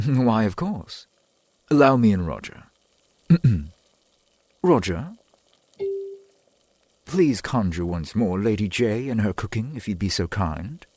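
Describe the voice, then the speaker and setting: A man playing a centuries-old vampire boyfriend in an ASMR roleplay, doting and earnest, with halting, old-fashioned formal speech. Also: fairly low pitch, expressive, a little mellow, no echo, full character voice. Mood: eager, earnest. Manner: formal archaic wording, halting, eager to please.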